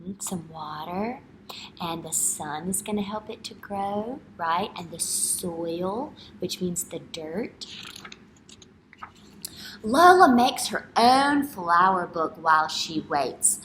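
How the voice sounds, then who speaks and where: A woman in her thirties speaking as an early-grade teacher, reading a picture book aloud in an expressive, instructional way and checking understanding with questions. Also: mid-range voice, slow, a little hiss or hum, poor audio. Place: indoor school classroom.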